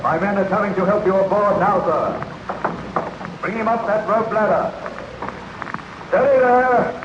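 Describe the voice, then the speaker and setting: An actor playing a steadfast, courteous ship's captain, formal and authoritative, in a 1930s radio drama. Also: male voice, sits high, unhurried. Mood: calling out. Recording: muffled, very noisy 1930s radio recording.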